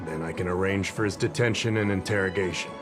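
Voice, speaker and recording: An actor playing a casual, chatty middle-aged security officer who rambles a little in a game cutscene. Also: male voice, low voice, quick pace, close to an everyday voice. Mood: explaining. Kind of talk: game voice acting. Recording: game cutscene with music behind.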